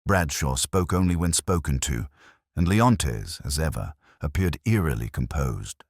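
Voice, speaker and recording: A man's voice: very deep voice, lively intonation, measured pace, dry recording, clean studio sound. A man reading scripted first-person narration for a mystery audiobook.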